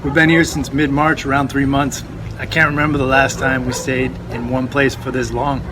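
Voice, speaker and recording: A man's voice: medium pitch, little pitch movement, moderate pace. A man co-hosting a casual prerecorded chat as a festival organizer, laid-back and swearing now and then. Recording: street noise and passing vehicles behind.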